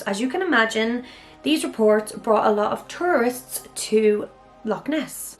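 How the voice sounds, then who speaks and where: A woman hosting her own channel, chatting her way through a mystery topic, with a slightly hoarse, raspy voice and an enthusiastic manner. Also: medium pitch. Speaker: YouTube channel host. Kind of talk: casual monologue on a mystery topic.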